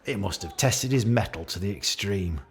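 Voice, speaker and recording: A man's voice: lowish voice, lively intonation, normal speed, natural voice. Male actor playing awkward British office boss, radio play monologue, swooping intonation, hesitant repeats, barbed jokey asides. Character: awkward, catty office boss giving a colleague's leaving speech.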